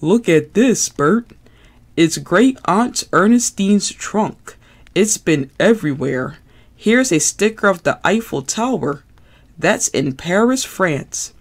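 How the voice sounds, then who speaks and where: A storybook reader voicing a cheerful, giggly puppet boy who talks excitably. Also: medium pitch, slow, voice pushed up. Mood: eager. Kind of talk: storybook read-aloud.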